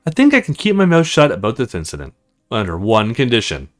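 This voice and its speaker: A man's voice: mid-range voice, wildly swooping pitch, fairly quick, faint music behind. A man voicing a stern, teasing female officer in a visual novel, matter-of-fact and commanding. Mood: matter-of-fact, bargaining. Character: stern, teasing military woman officer in charge.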